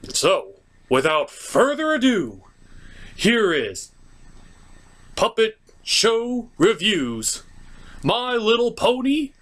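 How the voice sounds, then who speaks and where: A man presents a scripted comic review in a theatrical style. His fairly high voice moves expressively up and down, and he takes his time, leaning on dramatic pauses. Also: wildly swooping pitch, fairly bright tone. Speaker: host, comic movie reviewer.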